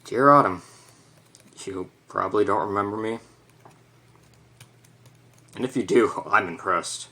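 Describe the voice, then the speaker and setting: A man's voice: lowish voice, unhurried, pauses often, sharp tone. A young man playing an awkward, sweet teenage boy in an amateur drama, hesitant and self-conscious. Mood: awkward, sad. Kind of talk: amateur stage drama monologue.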